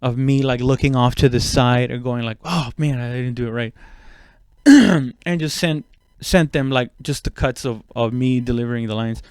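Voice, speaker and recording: A man's voice: medium pitch, moderate pace, darkish tone, clear, resonant tone, no echo, studio quality. A man giving a casual, rambling comedic monologue, self-deprecating and swearing freely. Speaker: comedian and podcast host.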